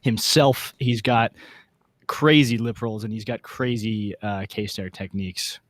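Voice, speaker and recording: A man's voice: lowish voice, measured pace, no echo. A man co-hosting a podcast in casual, slangy, informal chat. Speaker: podcast co-host.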